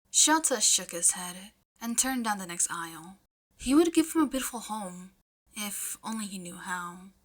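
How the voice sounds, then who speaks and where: A woman reading fiction aloud as an expressive audiobook-style narrator. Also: mid-range voice. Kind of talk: expressive storytelling narration with casual asides.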